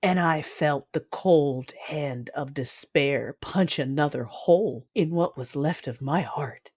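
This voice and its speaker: Woman acting a low-voiced, grieving warrior narrator. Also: slow, a little mellow, slightly rough, no room sound, clean studio sound, full character voice. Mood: restrained despair. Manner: intense, solemn, dramatic. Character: grieving warrior woman narrating, anguished but hardened, fiercely loving. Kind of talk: first-person dramatic audio drama narration.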